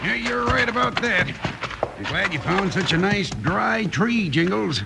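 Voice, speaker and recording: A bossy western outlaw leader, with a gravelly, rather high voice. He is curt and menacing with an American accent, in an old radio drama with clear recording noise. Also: animated, a little slow, heavily acted. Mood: falsely friendly. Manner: curt, commanding.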